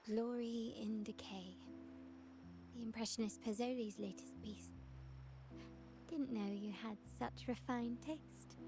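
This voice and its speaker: An elegant young noblewoman for a video game. Her voice sits fairly high, and she speaks with composed, refined poise and measured phrasing. Clear music plays underneath. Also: steady tone. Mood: poised, lightly teasing.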